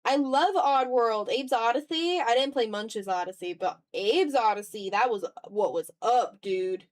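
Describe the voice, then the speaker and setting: A woman's voice: fairly high pitch, unhurried, no echo, studio quality. A woman in her twenties chatting casually on an art livestream, muttering to herself while drawing, self-deprecating and casually sweary. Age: 20s. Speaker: art streamer. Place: room at home.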